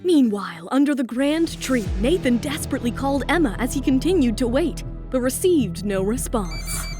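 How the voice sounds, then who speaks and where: A woman's voice: high-pitched, expressive, moderate pace, music in the background. A woman narrating a scripted romance audio drama.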